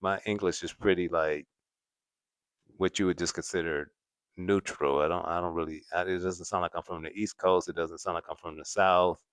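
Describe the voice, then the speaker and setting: A man's voice: deep voice, steady tone, normal speed, smooth, no echo. A man hosting a casual livestream, chatting to viewers and laughing often. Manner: laughs often, repeats "at the end of the day". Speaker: host.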